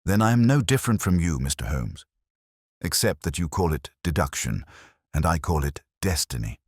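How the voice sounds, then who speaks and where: A composed, fatalistic stranger acted by a man in a mystery audiobook. His voice is extremely deep, with a measured self-possession, in a very dry recording. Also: very animated, slightly rough, no room sound, exaggerated voice. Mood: defiant, composed. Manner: eerily composed. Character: composed, fatalistic male stranger claiming foreknowledge.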